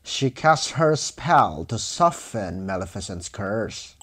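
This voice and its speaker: Slow, expressive male fairy tale narrator. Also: fairly low pitch, very noisy. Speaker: storyteller.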